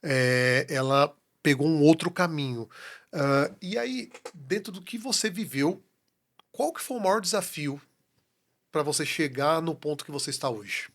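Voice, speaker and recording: A man's voice: a little high, a little slow, fairly bright tone. A Brazilian man hosting a podcast interview, speaking in an encouraging, motivational way. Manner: encouraging, motivational, repeats his points. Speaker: podcast host. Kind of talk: casual interview talk.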